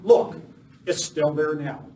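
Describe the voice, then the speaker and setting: Righteous masked cowboy hero played by a man, fairly high voice, declamatory, live Western radio play, recorded from a distance. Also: flat delivery, slow pace, warm tone. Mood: stern, accusing. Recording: echoey room, distant mic, faint noise.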